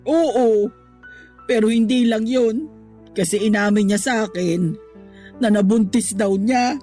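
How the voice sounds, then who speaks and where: A woman's voice: fairly high pitch, slow pace, mellow, faint music behind. Wounded, plaintive Filipino mother. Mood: hurt, resigned. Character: hurt, defensive middle-aged mother, heartbroken over romance. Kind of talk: Tagalog radio drama acting.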